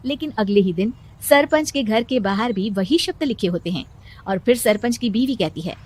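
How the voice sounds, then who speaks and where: A woman narrating a storybook cartoon in a steady storytelling manner. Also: on the high side, normal speed.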